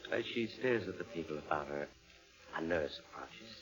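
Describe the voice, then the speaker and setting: An actor playing a sinister, mysterious storyteller, narrating theatrically as host of a 1940s radio suspense show, on a dull old recording. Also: male voice, very deep voice, animated. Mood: ominous, suspenseful. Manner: theatrical, ominous, deliberate. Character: sinister mysterious storyteller inviting listeners to eerie tales.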